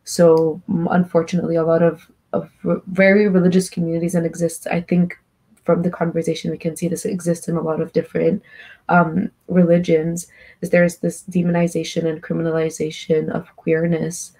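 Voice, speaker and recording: Earnest young woman, low mellow voice. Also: level tone, brisk. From Egypt. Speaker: panelist. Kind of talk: panel discussion on video call. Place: small room indoors. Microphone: laptop webcam video call.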